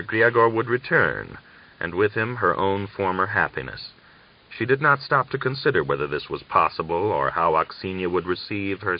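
A man narrates a literary novel with expression. His voice sits very low. The audiobook recording sounds somewhat dull and lo-fi.

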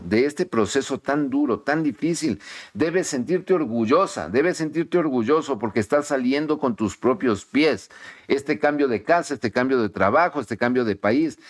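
Man, middle-aged, tarot reader, emphatic, repetitive pep-talk delivery, mid-range pitch, close-up home recording. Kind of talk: warm encouraging tarot reading to camera. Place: home study with bookshelves, indoors.

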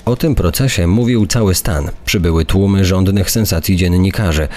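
A man's voice: deep voice, moderate pace, a little mellow. A man reading scripted true-crime narration.

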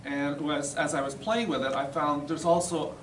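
A man's voice: fairly high pitch, steady tone, normal speed. A middle-aged man giving a plain-spoken, explanatory demonstration talk in an informal way. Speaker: presenter at a society meeting. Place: classroom or lecture room, indoors. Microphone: far camera. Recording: roomy, distant, noisy camera recording.

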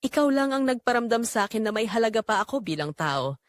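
A woman voicing a quiet, remorseful husband, earnest and tender, in an audio drama. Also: fairly high pitch, animated, fast talker, dry recording, studio quality, natural voice. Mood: earnest regret. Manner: earnest, apologetic.

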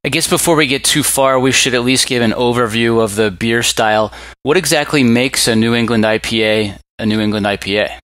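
A man hosting a podcast, reading a scripted intro and asking a guest questions in a friendly, measured way. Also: medium pitch, fairly bright tone, no echo. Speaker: podcast host.

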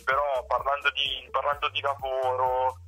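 A man giving casual political commentary, halting and often repeating words as he goes. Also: mid-range voice, monotone, pushed and bright. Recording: muffled phone-like line, music underneath.

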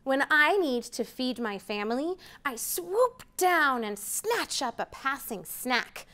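A woman's voice: high-pitched, big pitch swings, slow, bright, forceful tone. A woman in her thirties reading a picture book aloud to children in a library room, counting up with big rising calls. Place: library program room, indoors.

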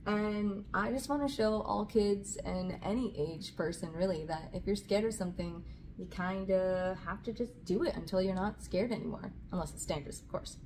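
A woman's voice: mid-range voice, moderate pace. Woman reading to children, noisy room. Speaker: host reading children's books aloud. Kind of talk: storybook read-aloud with casual asides. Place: small indoor room with curtain backdrop. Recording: clear background room noise.

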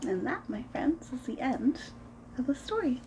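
High, swooping storytime woman. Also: moderate pace, creaky, a little hiss or hum, low-quality recording. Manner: expressive, sing-song storytelling. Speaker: host of a children's story time. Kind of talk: picture-book read-aloud for preschoolers. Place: small room at home, indoors.

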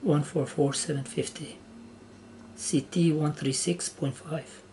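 A man giving a casual, halting step-by-step demonstration of equipment in short phrases, as a hobbyist. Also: a little high, steady tone, darkish tone. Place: indoor room with wooden surface. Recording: noisy handheld camera recording indoors.

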